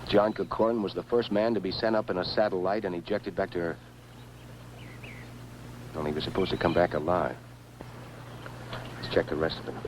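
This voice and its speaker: Low-voiced, grim field engineer, played by a man. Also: fairly even intonation, brisk, long pauses, lightly acted. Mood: bitter, troubled. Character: grim field engineer man blaming himself at crash site. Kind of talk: 1950s science fiction film acting. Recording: noisy old film soundtrack.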